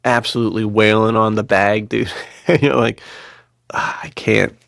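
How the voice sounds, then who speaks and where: A man's voice: lowish voice, fairly even intonation, moderate pace, creaky, fairly bright tone, no room sound, clean studio sound. A man co-hosting a podcast in a casual, slangy, agreeable way, often saying dude. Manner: slangy and agreeable, calls his co-host dude. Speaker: podcast co-host. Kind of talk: casual conversational podcast chat.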